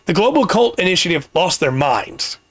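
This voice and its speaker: A man hosting a casual podcast, explaining things at length with pauses and restarts, his voice getting worn. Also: sits high, sing-song, fairly bright tone, husky, dry recording. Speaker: podcast host.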